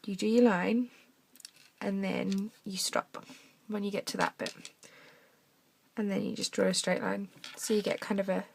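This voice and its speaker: Young woman, croaky gravelly voice, chatty craft demo, bit of hiss behind. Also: medium pitch, level tone, measured pace. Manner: chatty, talks while drawing, drops to soft mutters. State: croaky from a cough. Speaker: craft tutorial maker. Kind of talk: casual craft demonstration. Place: home desk, indoors.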